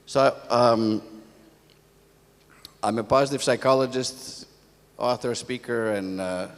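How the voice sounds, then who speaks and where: Older guy on stage telling personal stories, mid pitch, big hall reverb. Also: slow. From born in Athens, Greece, moved to America as a child. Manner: conversational storytelling in short phrases. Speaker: conference speaker, positive psychologist. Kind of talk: motivational talk with personal storytelling. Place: theatre stage. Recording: echoey hall, long reverb.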